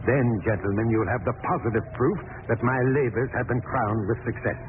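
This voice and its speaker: Man acting a smooth, sinister criminal, tinny noisy radio. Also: medium pitch, lightly acted. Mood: cool, gloating. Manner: polite, measured, menacing. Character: smooth, sinister male criminal chemist, coolly plotting murder.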